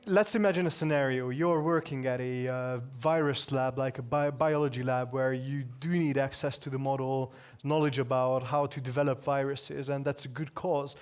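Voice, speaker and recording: Man, co-speaker, Q&A, middle pitch, thinking aloud, restarts. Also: steady tone, moderate pace, clear, resonant tone. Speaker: conference speaker. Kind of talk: tech talk Q&A answers.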